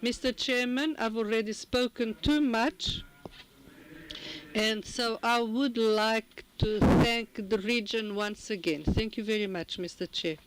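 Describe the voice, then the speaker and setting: A man with a very high voice works as a simultaneous interpreter at a formal committee meeting. He speaks slowly, stumbling and correcting himself as he renders each phrase. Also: fairly bright tone, a little hiss or hum, low-quality recording. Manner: halting, with frequent restarts and fillers.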